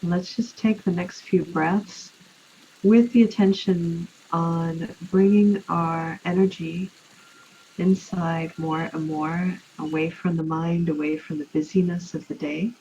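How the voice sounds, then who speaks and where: A woman leading a guided relaxation meditation in an unhurried, soothing way, leaving long pauses. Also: fairly low pitch, fairly even intonation, mellow. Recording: muffled, with clear background noise.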